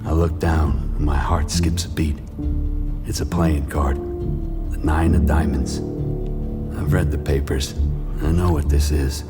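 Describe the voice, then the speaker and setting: An actor playing a bitter, regretful washed-up boxer, narrating a noir audio drama in brooding, terse sentences. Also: male voice, a bass voice, steady tone, measured pace, long pauses, over loud music. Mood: wary.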